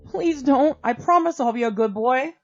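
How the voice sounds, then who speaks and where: A man's voice: moderate pace, muffled recording, soft background music. A man voicing a frightened male cartoon lab mouse, his voice shaking and tearful as he begs. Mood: terrified pleading. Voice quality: shaking, tearful high squeak. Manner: pleading, sobbing.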